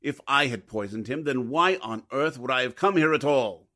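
A man acts out an indignant suspect protesting innocence in a 1940s-style radio drama. His voice is tense and bright, at a middling pitch. It swings around with heated expression. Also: expressive, moderate pace.

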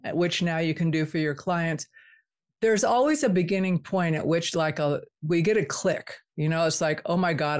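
Woman podcast host, friendly and chatty, kinda low voice. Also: a little mellow. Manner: friendly, chatty, rambling questions. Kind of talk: casual interview chat.

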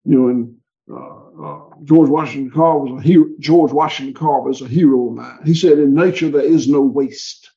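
A man's voice: on the high side, steady tone, a little fast, vocal fry, dark tone, muffled recording. A man with a Southern US accent, a livestock farmer talking in an interview, rambling and folksy with frequent restarts. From American South. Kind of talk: conversational interview.

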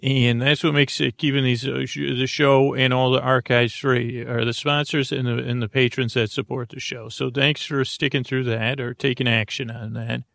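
A man's voice: mid-range voice, little pitch movement, normal speed, vocal fry, hardly any pauses, a bit bright, no room sound, clean studio sound. A man hosting a sleep podcast, talking in a meandering, self-interrupting way with wry asides. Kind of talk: rambling bedtime podcast intro.

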